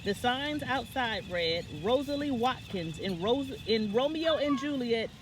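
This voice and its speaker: A woman's voice: on the high side, expressive, unhurried, noisy background. A woman reading a children's picture book aloud as a story time reader, now and then stumbling and correcting herself.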